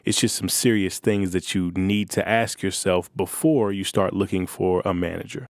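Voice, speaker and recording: A male podcast host giving casual, matter-of-fact advice in a monotone voice. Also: deep voice, moderate pace, no echo, clean studio sound.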